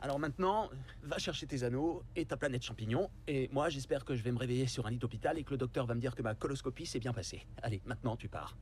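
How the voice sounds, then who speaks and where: A man's voice: mid-range voice, fast, few pauses, darkish tone, a little hiss or hum, close to an everyday voice. A man voicing an exasperated, sarcastic small-town cop in a fan dub. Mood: fed up.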